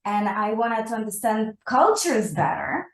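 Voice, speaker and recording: A woman's voice: a little high, sing-song, measured pace. Echoey woman, native Ukrainian speaker. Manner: thoughtful, many fillers and restarts, ends points with "right?". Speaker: guest scientist. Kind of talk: conversational interview answer.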